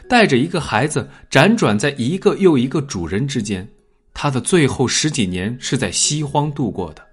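A man narrating a scripted dramatic story in an expressive storytelling manner. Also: medium pitch, slightly rough. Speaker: audiobook narrator.

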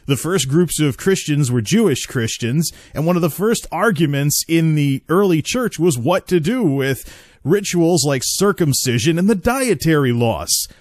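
A man's voice: fairly high pitch, lively intonation, unhurried, darkish tone, dry recording, clean studio sound. A man hosting a casual spoken commentary, talking in a chatty, rambling way with asides and restarts. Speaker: host.

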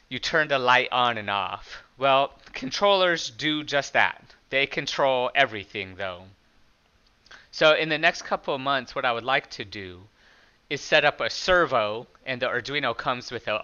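A man with a loud, very bright and smooth voice talks plainly through his code, like a hobbyist showing his own project. The sound is a little dull, as from a modest home setup. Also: medium pitch. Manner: loud speaking voice; plain, conversational explaining with small restarts. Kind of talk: casual screen-recorded tutorial.

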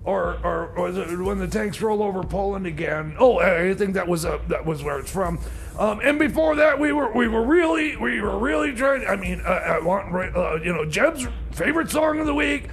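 A man playing a flustered, grumbling radio DJ, halting and repeating himself in rambling comedy banter. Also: sits high, animated, creaky, a bit bright, exaggerated voice. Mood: aggrieved. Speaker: radio DJ and host.

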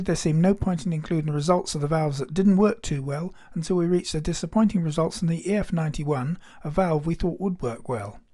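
A man's voice: a little high, warm tone. A man giving scripted narration for a hobby electronics demonstration.

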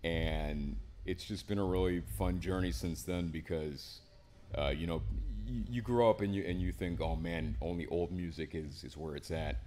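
A man in his twenties with a deep voice, telling his story in a relaxed, rambling way, often tossing in "man" and "right?". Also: moderate pace, slight background noise. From Miami, Florida. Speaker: poet, interview guest. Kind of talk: casual interview. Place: small indoor studio with a painted mural wall.

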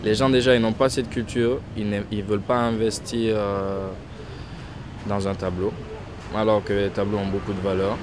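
Young man from Guinea, lowish voice, hesitant casual answers, outdoor street traffic audible. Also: level tone, normal speed. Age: young adult. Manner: hesitant, many restarts and fillers, trailing off softly. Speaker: painter interviewed. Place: outdoors in front of a painted backdrop, street traffic nearby. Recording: outdoor street noise behind voice.

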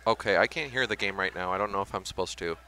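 A man's voice: low-pitched, fast talker, bright, forceful tone. A young man chatting casually as he commentates on a gaming livestream. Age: young adult. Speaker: esports stream commentator. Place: large indoor campus common area.

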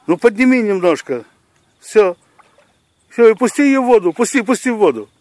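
Man, high lively voice, dry close sound, giving orders outdoors, lakeside. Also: moderate pace, no echo. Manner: bossy, laughing, swearing, giving orders. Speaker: person filming a fishing trip. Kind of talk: casual outdoor banter.

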